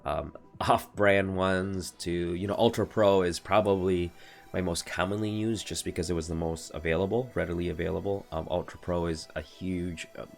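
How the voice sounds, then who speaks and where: A man's voice: low-pitched, fairly even intonation, normal speed, vocal fry. An American man in his thirties, stuffy from allergies, rambling through a casual product review on a home stream with lots of fillers and self-corrections. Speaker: streamer reviewing card game accessories. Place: home desk room.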